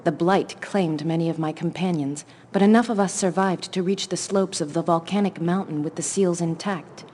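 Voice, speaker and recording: A woman's voice: on the low side, normal speed, a little hiss or hum, natural voice. A woman voicing a solemn, determined female leader, narrating a game cutscene in a grave, formal manner. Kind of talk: dramatic scripted game narration. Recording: loud music under the voice. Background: cinematic game music.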